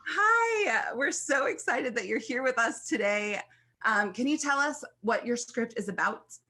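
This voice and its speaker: A woman's voice: a little high, animated, unhurried, bright, forceful tone. An American woman hosting an online event as a filmmaking mentor, talking to guests and introducing them. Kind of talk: event hosting on a video call.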